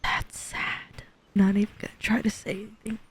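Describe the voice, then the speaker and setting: A woman's voice: medium pitch, flat delivery, normal speed, whispered. A woman streamer casually reacting aloud while playing a game.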